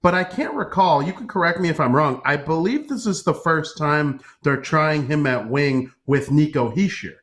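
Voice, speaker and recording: A man's voice: fairly high pitch, normal speed, few pauses, fairly bright tone. A man co-hosting a sports podcast, giving casual, opinionated hockey analysis.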